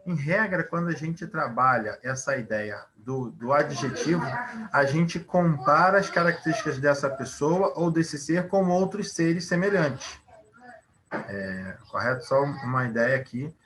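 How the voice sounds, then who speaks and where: A man's voice: on the high side, lively intonation, a little slow, crowd noise. A man teaching a Portuguese grammar lesson, explaining at length, asking rhetorical questions and using many fillers.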